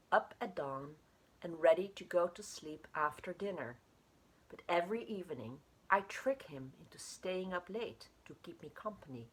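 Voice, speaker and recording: Husky lady reading her short story out loud, low voice, pitch moves around a lot. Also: low-quality recording.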